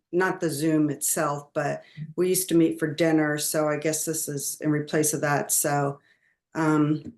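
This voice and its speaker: A woman's voice: low voice, a little mellow. A mature woman talking casually on a group video call. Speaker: long-time volunteer mentor. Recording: echoey room over video call.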